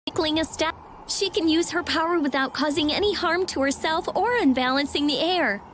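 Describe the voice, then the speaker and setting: A woman voicing a brisk, brainy, prickly teenage girl mage in a video game. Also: high voice, a bit bright, slight background noise. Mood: happy.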